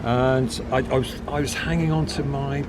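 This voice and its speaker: A man's voice: mid-range voice, steady tone, moderate pace. An English man in casual, agreeable chat, often echoing what others say. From Shaldon, England. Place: outdoor seafront cafe. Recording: noisy outdoor recording with traffic.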